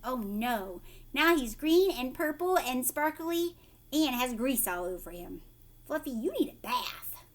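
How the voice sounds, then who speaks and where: High-voiced woman reading to children. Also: a little slow. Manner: animated, addresses young listeners directly. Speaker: read-aloud teacher. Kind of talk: storybook read-aloud with chatty asides to children.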